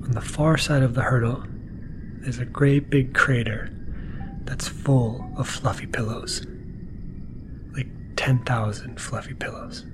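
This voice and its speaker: A man hosting a bedtime podcast, narrating gently in a soft, half-whispered voice for relaxation. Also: steady tone, a little slow, long pauses, rough recording. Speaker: podcast host. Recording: music playing behind the voice. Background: mellow music and low-key sound design.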